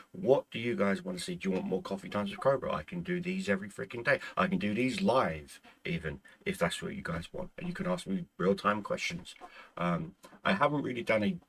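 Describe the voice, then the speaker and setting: Deep gravelly man, rambling chat. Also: a bit bright, slight background noise. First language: Irish. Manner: rambling, with frequent stumbles and restarts. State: losing his voice. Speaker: gaming channel host. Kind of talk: casual chat to viewers.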